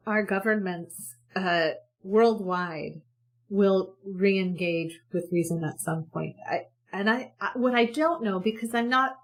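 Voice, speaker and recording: A woman guest in an interview, talking earnestly and hopefully about communication in a chatty way with many restarts. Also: mid-range voice. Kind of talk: conversational interview.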